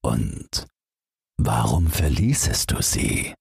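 A naive, wistful snowman from a storybook, as a man plays him. He asks his questions slowly and with wonder, in a husky voice. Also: extremely low pitch, sing-song, darkish tone, no room sound, studio quality, pitched below the performer's own voice, exaggerated voice. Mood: wistful, curious. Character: naive newborn male snowman, curious and wistful. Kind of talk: storybook character voice.

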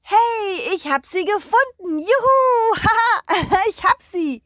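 A woman voicing an eager, naive and friendly dog in a children's story, with an excitable, questioning manner. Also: very high-pitched, slow pace, no echo, studio quality, pitched above the performer's own voice, exaggerated voice. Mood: overjoyed.

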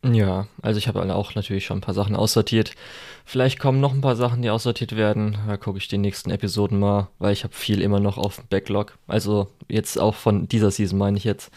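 A man's voice: fairly low pitch, fairly even intonation, fast talker, darkish tone. A man co-hosting a casual podcast chat, speaking in a rambling, hesitant way with lots of fillers and restarts. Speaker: podcast co-host.

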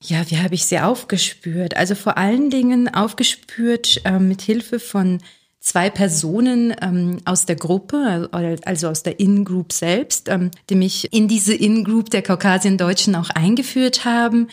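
A woman's voice: medium pitch, unhurried. A woman from Kazakhstan, a linguist guest on a podcast, answering hesitantly with many fillers, recorded in a room at a language institute.

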